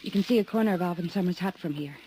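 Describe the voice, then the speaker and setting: A woman's voice: fairly low pitch, rapid-fire, a little hiss or hum, natural voice, rough recording. An actress playing a curious young woman who asks questions in a 1950s radio drama. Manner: questioning, soft-spoken.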